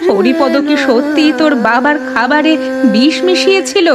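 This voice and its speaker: A woman's voice: high-pitched, animated, normal speed. A woman playing a protective, proud old village mother in a cartoon, homely and folksy in manner. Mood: worried, tender. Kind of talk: Bengali cartoon voice acting. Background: music.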